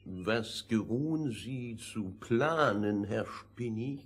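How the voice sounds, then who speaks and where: An actor playing a fussy, stiffly formal gentleman in a fairy-tale radio play, stilted and over-polite. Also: lowish voice, animated, slow, heavy background noise. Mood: inquiring. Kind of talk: theatrical radio play acting.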